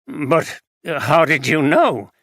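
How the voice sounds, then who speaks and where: Sheepish pleading husband, as a reader plays him. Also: male voice, on the high side, very animated, takes it slowly, pushed and bright, no echo, studio quality, voice pushed up, full character voice. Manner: pleading, evasive, nervous. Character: sheepish middle-aged husband caught out. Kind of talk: audiobook character reading.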